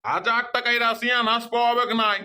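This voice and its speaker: A performer plays an old grandfatherly cartoon street seller with a bright, forceful voice. The delivery is an insistent, repetitive hawker's pitch. The accent is rural Purulia Bengali. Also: flat delivery, very fast, clean tone, lightly acted. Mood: bargaining. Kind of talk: comedy cartoon dialogue.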